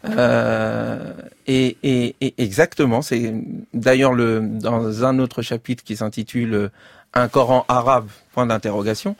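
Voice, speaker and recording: A man's voice: mid-range voice, steady tone, a little slow, gravelly, no echo. Hesitant French-speaking man, dry studio sound. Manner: hesitant, many fillers and restarts. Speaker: author and guest. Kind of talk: measured interview talk.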